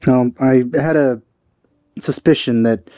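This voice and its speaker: A man's voice: mid-range voice, fairly even intonation, slow pace, dark tone, dry recording. A man giving casual commentary as he plays through a video game. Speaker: let's play streamer.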